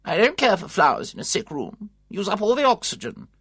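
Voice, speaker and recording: A reader voicing a sharp, disapproving elderly aunt who speaks imperiously. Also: female voice, medium pitch, sing-song, a little fast, slightly rough, dry recording, clean studio sound, pitched above the performer's own voice. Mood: haughty. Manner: imperious, scornful.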